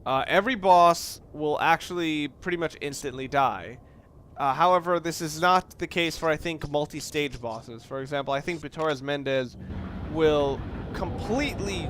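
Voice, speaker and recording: A man's voice: a little high, unhurried, background noise. A man giving casual, rambling let's play commentary, explaining and reflecting as he plays, with game sounds behind him. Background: video game sounds.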